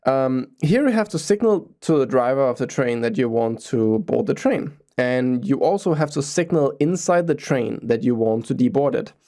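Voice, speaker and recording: A Danish-accented man hosts an informal explainer vlog about public transport. His voice is mellow and warm, at an ordinary middle pitch. He explains things earnestly, in a casual, conversational way. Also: lively intonation, moderate pace, hardly any pauses. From Denmark. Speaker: transit vlogger.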